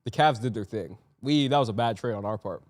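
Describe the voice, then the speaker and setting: A man's voice: lowish voice, a little fast. A man from Canada whose first language is Cantonese, hosting a podcast and running its segments in joking casual chat. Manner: runs the segments, joking and swearing. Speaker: podcast host.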